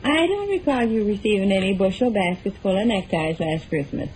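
An American actress playing a thrifty, skeptical middle-aged wife, folksy and persistent, in a 1940s radio comedy. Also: female voice, mid-range voice, expressive, fairly quick, darkish tone, natural voice. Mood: doubting, persistent. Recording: noisy old 1940s radio recording.

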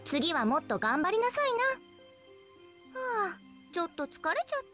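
High-pitched, teasing anime girl archer. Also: very animated, normal speed, fairly bright tone, faint music behind, full character voice. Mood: pouty, cocky. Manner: coy, teasing, theatrical. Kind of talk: Japanese anime game voice acting.

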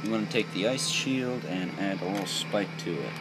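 A man's voice: deep voice, normal speed, loud music behind the voice, poor audio. A young man giving a casual, off-the-cuff build tutorial in a rambling, jokey, self-deprecating way. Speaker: toy build video host.

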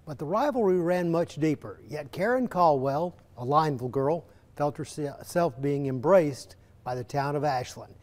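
An older man narrating a local TV feature story in a measured, folksy storytelling way. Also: a little high, expressive, darkish tone. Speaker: TV feature host and reporter. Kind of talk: scripted news narration. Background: music.

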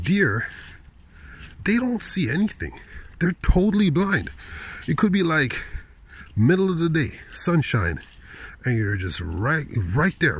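A man's voice: mid-range voice, slightly rough. A man rambling casually in slangy, incredulous asides, speaking into a handheld phone while walking outdoors at night. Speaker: vlogger. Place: outdoors at night on a park path.

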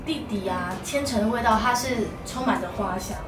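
A young woman with a Taiwanese Mandarin accent, hosting a chatty promotional interview in an expressive, enthusiastic way. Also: fairly high pitch, moderate pace. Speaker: host. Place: small wood-panelled room indoors. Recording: roomy, distant mic, music behind.

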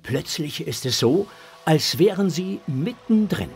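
Slow, gravelly male storyteller. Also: fairly high pitch, sing-song, faint music behind. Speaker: narrator. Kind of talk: expressive scripted narration for a children's audio drama.

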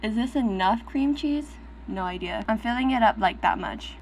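Woman, twenties, slightly high voice, casual on-camera chat, filler-heavy, close camera mic. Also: lively intonation, moderate pace, slight background noise, low-quality recording. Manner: chatty, frequent "like" fillers, some soft whispers. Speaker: mukbang creator. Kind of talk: casual commentary while cooking and eating. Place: small indoor room with dark backdrop.

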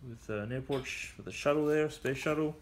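A man casually showing off and reviewing a vintage building set, rambling with fillers, in a cluttered toy room. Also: medium pitch. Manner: unhurried, rambling, with frequent fillers. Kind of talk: casual show-and-tell commentary. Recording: noisy home video.

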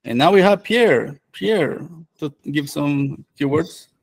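A man, hosting a live online course on a video call, relaxed and full of fillers. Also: a little high, slow. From Venezuela. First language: Spanish. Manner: relaxed, many fillers and restarts. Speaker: developer advocate and course host.

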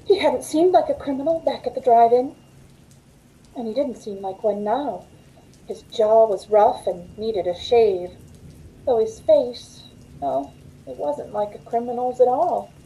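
A woman's voice: a little high, unhurried, dark tone, close to an everyday voice, low-quality recording. A woman playing a frightened, pleading young woman in an old-time radio suspense drama, with an anxious, dramatic delivery. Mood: tense narrating. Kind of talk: old-time radio suspense acting, first-person narration. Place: indoors at home on a video call.

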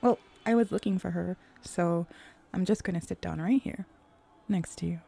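Woman as a husky, teasing hellhound seductress. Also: warm tone. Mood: coaxing, flirty. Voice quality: low, husky, creaky. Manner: slow, teasing, seductive, drifting into breathy whispers. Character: flirty, teasing female hellhound, a sly demon pack hunter. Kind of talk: roleplay ASMR audio drama.

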